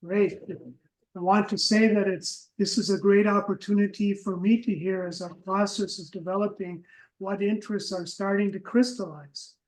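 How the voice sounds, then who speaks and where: High-voiced upbeat male instructor, roomy echo. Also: steady tone, darkish tone. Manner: upbeat, brisk, keeps things moving. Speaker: course instructor and moderator. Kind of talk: classroom moderation. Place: classroom.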